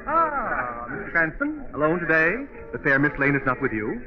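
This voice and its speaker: A man's voice: on the high side, huge pitch swings, normal speed, music in the background. A man playing a nervous, oily underworld informant in 1940s radio drama, mock-polite, stammering and repeating himself. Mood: sly, polite. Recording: tinny, phone-like old radio recording.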